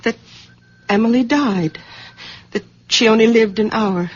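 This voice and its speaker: A middle-aged woman in an old radio drama, with a husky, fairly high voice. She is an anxious, careful daughter, and the sound is slightly dull. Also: unhurried. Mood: careful probing. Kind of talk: 1970s radio drama acting. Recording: dull vintage radio recording.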